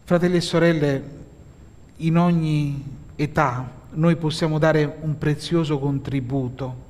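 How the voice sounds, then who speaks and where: A middle-aged pastor from Italy preaches from the pulpit of a church hall in a slow, deliberate way, pausing often and filling gaps with soft hesitations. His voice sits fairly high for a man, and his words ring with a long reverb through the hall. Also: steady tone. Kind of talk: sermon.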